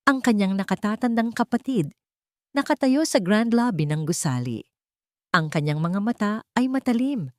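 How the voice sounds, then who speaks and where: A woman's voice: medium pitch, darkish tone, no room sound, studio quality. A woman narrating a scripted romantic story in a dramatic storytelling style.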